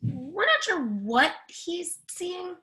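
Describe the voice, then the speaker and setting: A woman's voice: very high-pitched, sing-song, extremely slow, sharp tone, exaggerated voice. A woman playing a sardonic, brassy character with sarcastic comebacks in a stage play reading. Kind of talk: readers theatre play reading.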